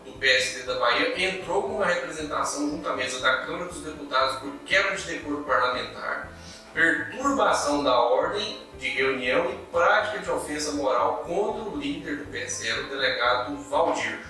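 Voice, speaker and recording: Very bright-voiced man, echoey room. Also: on the high side, normal speed, recorded from a distance, faint music behind. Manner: reads news items aloud, agreeing interjections. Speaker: political commentary co-host. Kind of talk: casual political discussion.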